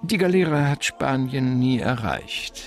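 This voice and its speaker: An actor playing an effusive, wily gentleman mastermind in a German crime radio play, lecturing in florid, theatrical phrases. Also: medium pitch, lively intonation, takes it slowly, soft background music. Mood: savoring, conspiratorial.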